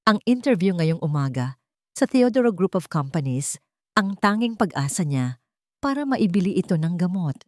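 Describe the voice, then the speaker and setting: A woman narrates a dramatic story in a slightly low voice. Her pitch moves widely and expressively through each sentence. The recording is dry, with hardly any room sound. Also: very animated, moderate pace, darkish tone, no echo.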